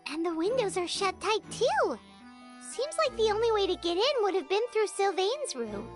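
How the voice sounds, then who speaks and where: A woman voicing a small, perky fairy companion in a video game, with a squeaky childlike voice and a chirpy, eager manner. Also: big pitch swings, moderate pace. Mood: eager, helpful. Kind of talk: video game character acting. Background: music.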